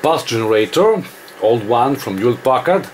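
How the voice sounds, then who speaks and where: A man who is not a native English speaker gives an informal hands-on technical tutorial, haltingly thinking aloud as he works. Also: mid-range voice, lively intonation, a little mellow, a little hiss or hum. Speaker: electronics demonstrator.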